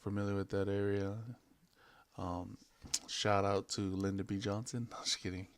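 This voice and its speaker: A man's voice: on the low side, monotone, normal speed, clean tone, no echo. A man in his late twenties from American Samoa and Hawaii, talking in a laid-back, hesitant, rambling way in an unscripted vlog. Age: late 20s. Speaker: vlogger. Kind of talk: casual unscripted vlog chat.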